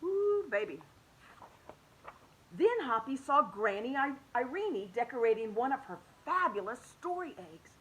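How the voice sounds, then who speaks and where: Animated woman reading a picture book. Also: a little high, measured pace, rough recording. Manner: expressive and animated, with soft hushed drops. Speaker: children's story reader.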